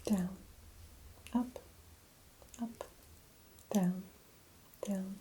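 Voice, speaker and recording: A woman playing a patient in soft-spoken ASMR role-play, giving quiet, brief answers. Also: medium pitch, steady tone, very slow, slight background noise.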